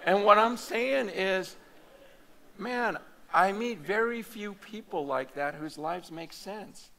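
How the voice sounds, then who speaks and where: A man preaching a conference sermon in a loose, animated, conversational way, full of fillers and restarts. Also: sits high, slow pace, gravelly, slight background noise. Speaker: pastor. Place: large hall or meeting room before an audience.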